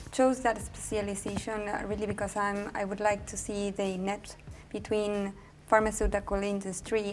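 A young woman talks sincerely in a very level tone with little rise and fall. A faint room hum sits underneath. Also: medium pitch. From Argentina. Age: young adult. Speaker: master's student. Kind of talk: testimonial interview.

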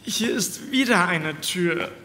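An actor playing an anxious, sarcastic, halting man trapped in a cellar, in a horror audio drama. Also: high-pitched, animated, pushed and bright, exaggerated voice. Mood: pained, suspicious. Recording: echoey hall reverb, faint background noise.

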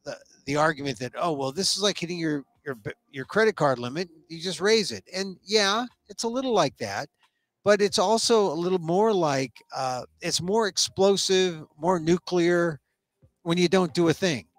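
A man's voice: high-pitched, lively intonation, moderate pace, no echo. An American man in his sixties hosting a casual, opinionated chat on a headset mic, rambling and restarting his thoughts. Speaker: talk show host. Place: small indoor room, online talk show.

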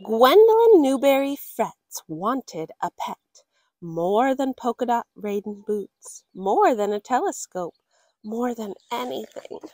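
Expressive, high-voiced woman storyteller. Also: dry recording. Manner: deliberate, dramatic phrasing for children. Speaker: children's story time host. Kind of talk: expressive picture-book read-aloud.

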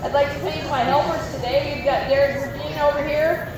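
A woman's voice: high-pitched, fairly even intonation, brisk, recorded from a distance. A woman announcing a fair contest, giving upbeat play-by-play and countdowns from a covered arena. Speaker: event announcer. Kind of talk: live event commentary. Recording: echoey PA sound from afar, crowd noise.

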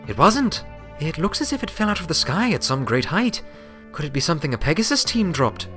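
Storybook female buffalo played by a man: young, polite, formal, high pitch, music under. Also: sing-song, a little fast, sharp tone. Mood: puzzled.

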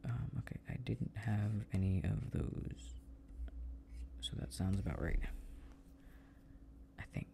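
A man with a lowish, mellow voice talks quietly to himself in a casual ASMR roleplay. His delivery is slow and murmured, often dropping toward a whisper. There is faint noise behind him. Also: creaky. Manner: murmuring, half-whispered, self-talking. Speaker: audio roleplay performer playing a boyfriend. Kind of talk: soft improvised ASMR roleplay, muttering to himself while working.

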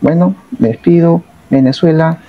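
A Peruvian man in his thirties telling a personal travel story straight to the camera, reflective and steady, often adding little tag questions. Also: fairly high pitch, normal speed, phone-quality sound. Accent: Peruvian Spanish. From Peru. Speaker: vlogger.

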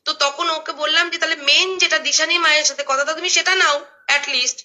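Casting: middle-aged woman, emphatic phone talker, very sharp forceful tone, rapid delivery. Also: sits high, fairly even intonation. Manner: emphatic, repeatedly seeking confirmation. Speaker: social media creator. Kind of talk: phone conversation, explaining at length.

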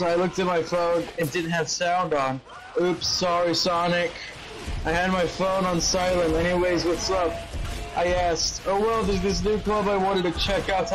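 Male gamer, slightly high voice, halting casual read-aloud, sweary, voice chat mic. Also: low-quality recording. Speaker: player reading fan fiction aloud in an online game.

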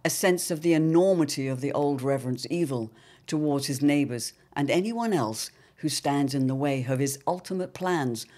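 A man's voice: fairly high pitch, normal speed, darkish tone. A man narrating a scripted serial drama in a theatrical, portentous manner.

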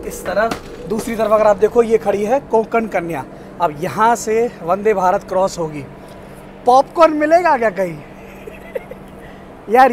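A young man chatting excitedly to viewers while filming himself on a train journey, recorded on a phone held at arm's length. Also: high-pitched, slight background noise. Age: young adult. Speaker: train travel vlogger. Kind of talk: casual travel vlog. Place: train carriage and outdoor station platform.

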